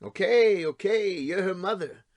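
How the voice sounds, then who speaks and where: A man's voice: high voice, unhurried. A man playing a taunting, wheedling estranged husband and father in an audio drama. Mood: challenging. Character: needling, mocking estranged husband and father, hard-drinking. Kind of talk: naturalistic audio drama acting.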